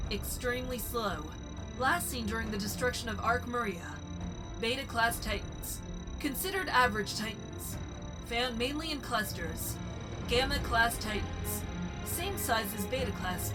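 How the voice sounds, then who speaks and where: Woman as ship computer AI, high-pitched, articulate, helpful, matter-of-fact, sci-fi cartoon parody, music behind. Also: close to an everyday voice, poor audio. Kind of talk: fan sci-fi parody dub, informational recitation.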